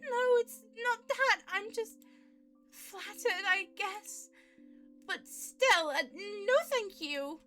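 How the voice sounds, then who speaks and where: Woman voicing Pastel, a high, bashful young boyfriend. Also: slow, pushed and bright, faint music behind, heavily acted. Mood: flustered. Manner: hesitant, flustered. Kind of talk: visual novel read aloud.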